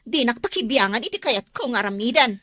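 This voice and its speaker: A woman's voice: on the high side, big pitch swings, brisk, bright, forceful tone, no echo, studio quality, exaggerated voice. A woman playing a discontented, defiant wife in an Ilocano radio drama, arguing dramatically. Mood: angry, defiant. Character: discontented, sharp-tongued young wife who craves comfort.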